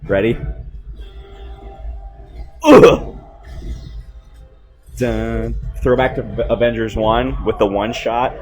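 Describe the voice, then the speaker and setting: A man giving excited, casual film commentary in slangy, exclamatory bursts as a host. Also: medium pitch, very slow, pauses often, low-quality recording. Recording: music playing behind the voice.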